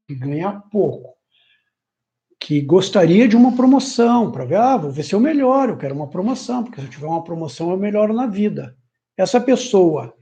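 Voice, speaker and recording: A man giving an informal, animated self-development lecture, sometimes mimicking complaints in a higher voice. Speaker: personal development teacher. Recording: muffled lo-fi audio.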